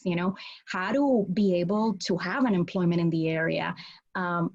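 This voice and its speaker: A woman from Puerto Rico whose first language is not English, speaking earnestly as a guest on a video call from a home office. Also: mid-range voice. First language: Spanish. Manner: earnest, animated, gestures a lot with her hands while talking. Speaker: law school dean, guest. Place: home office with bookshelves, indoors. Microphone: video call, webcam. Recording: dull lo-fi webcam audio.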